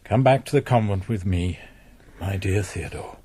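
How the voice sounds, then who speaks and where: A man playing a grave, kindly holy father in a Gothic radio drama, speaking in a measured, formal way. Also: deep voice, slightly rough. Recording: loud music under the voice. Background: music.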